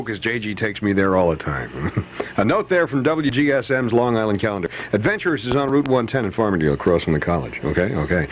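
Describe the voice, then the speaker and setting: A man's voice: on the low side, slight background noise. A man working as a radio DJ, chatting breezily through weather and announcements with conversational asides on a 1970s aircheck. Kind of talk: casual live radio DJ patter with weather and announcements. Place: radio studio. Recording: dull 1970s AM radio aircheck, music behind.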